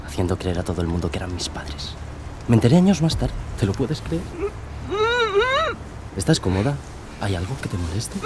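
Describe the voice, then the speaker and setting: Man acting a deep, falsely tender young killer. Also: close to an everyday voice. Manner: soft-spoken politeness with a sinister edge. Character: charming young male serial killer, falsely tender, menacing. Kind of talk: audio drama acting.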